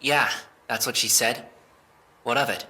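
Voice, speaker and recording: A man's voice: mid-range voice, fairly even intonation, a little slow, sharp tone. A man playing a desperate, defensive young man under questioning in an audio drama, stammering and exasperated as he speaks. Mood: exasperated, tired.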